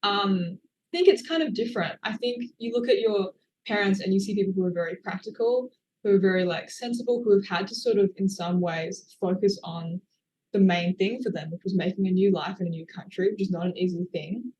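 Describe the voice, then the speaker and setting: A woman's voice: mid-range voice, a little fast, a little mellow, slight background noise, rough recording. A woman, an author, answering interview questions in a thoughtful, hedging way with many fillers. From Australia. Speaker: author guest.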